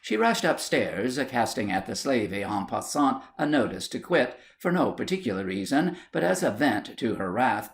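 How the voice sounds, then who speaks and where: A man reading a comic period short story as scripted narration, in a measured, literary storytelling manner. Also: medium pitch, big pitch swings, creaky. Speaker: audiobook narrator.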